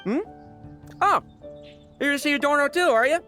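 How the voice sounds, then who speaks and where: A man's voice: very high voice, normal speed, bright, forceful tone, soft background music. A male actor playing a cocky, irreverent knight in a video game, teasing and flippant with a defiant streak. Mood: casual, wry.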